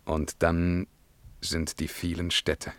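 An actor playing an imperious, brooding emperor in a verse radio drama, declamatory and commanding in a solemn, measured way. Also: male voice, sits low, flat delivery, no room sound. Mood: grandiose, exalted. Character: imperious, brooding Byzantine emperor, haughty and troubled.

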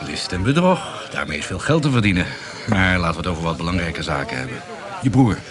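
A man's voice: sits low, very animated, a little fast, crowd noise. An actor playing a roguish, wary swindler and womaniser in a radio drama, with a wry, musing delivery. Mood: sly. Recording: clear background noise.

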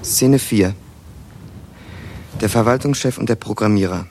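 An actor as a detached narrator, reading stage directions tersely and matter-of-factly in a radio play. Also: male voice, on the low side, a little slow, music in the background. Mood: detached, factual. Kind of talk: scripted narration.

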